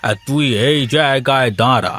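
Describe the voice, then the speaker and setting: A man's voice: medium pitch, normal speed, a bit bright, lightly acted. A man voicing a kindly, homely older village father in a cartoon, with a hearty manner. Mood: homely, businesslike. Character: kindly older village father visiting his married daughter.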